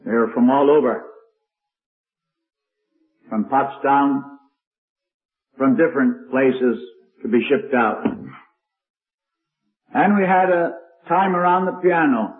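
A man's voice: medium pitch, slow, long pauses, faint music behind. An older man preaching with a strong, carrying voice, telling stories in an old-time sermon. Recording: tinny lo-fi archival recording.

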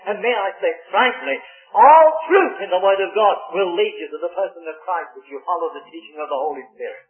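A man preaching a fervent revival sermon, his voice leaping up on key words, using old-fashioned scripture phrasing. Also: high voice. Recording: tinny phone-like old recording in an echoey hall with faint music.

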